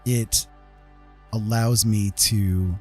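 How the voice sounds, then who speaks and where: A man with a husky voice chatting casually as he paints on a live stream, rambling and self-deprecating, often checking that listeners follow. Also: fairly low pitch, steady tone, extremely slow, soft background music. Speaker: artist and writer hosting a live stream.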